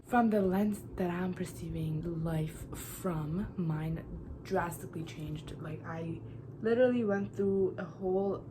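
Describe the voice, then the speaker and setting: A woman's voice: on the low side, unhurried. Young woman, from Iran, get-ready-with-me chatter, blunt and encouraging, noisy background. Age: 20s. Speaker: beauty vlogger. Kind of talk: casual get-ready-with-me chat.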